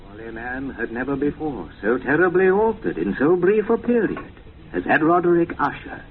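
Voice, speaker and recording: An actor voicing a gloomy Gothic horror narrator in a theatrical, old-film manner, giving a dramatic literary reading. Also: male voice, on the high side, big pitch swings, moderate pace. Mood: ominous. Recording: muffled, noisy tape playback.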